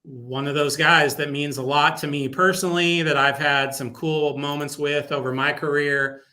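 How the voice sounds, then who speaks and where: A man's voice: a little high, fairly even intonation, normal speed, pushed and bright. A man speaking casually as a guest in a sports radio interview, telling stories with emphatic repetition. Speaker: guest, sports public address announcer.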